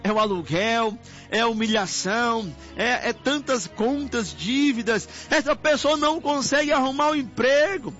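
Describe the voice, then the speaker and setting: A man's voice: extremely high pitch, normal speed, a bit bright. A Brazilian man preaching in fervent, pleading prayer, repeating his invocations as he goes. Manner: impassioned, pleading, repetitive invocations. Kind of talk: fervent extemporaneous intercessory prayer. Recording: muffled lo-fi audio with music behind. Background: music under the voice.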